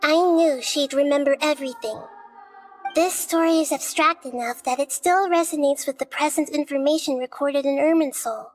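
Game role, for an actress: wise, composed little goddess, soft girlish high voice, measured storybook delivery, music underneath. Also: natural voice, rough recording. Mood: calm, thoughtful. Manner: measured, thoughtful, articulate. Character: small childlike female deity, gentle, wise, composed. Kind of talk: video game dialogue and fairy-tale narration.

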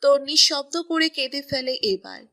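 A woman narrates a romance story in a high, bright voice with an even storytelling manner. Her delivery sounds crisp and scripted. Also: unhurried.

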